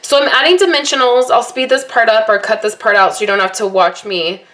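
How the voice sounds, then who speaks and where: Chatty woman crafter, slightly hoarse voice. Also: a little high, a little fast, a bit bright. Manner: chatty, rambling, apologetic. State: voice a little hoarse from allergies and little sleep. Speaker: card-making vlogger. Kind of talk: casual crafting tutorial. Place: home craft workspace, indoors.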